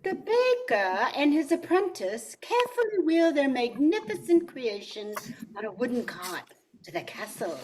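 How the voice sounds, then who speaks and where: A woman plays a minstrel narrator in a storybook radio play. Her high voice moves through a very lively range at an unhurried, theatrical pace. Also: background noise. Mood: storytelling. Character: female minstrel narrating a fairy-tale comedy.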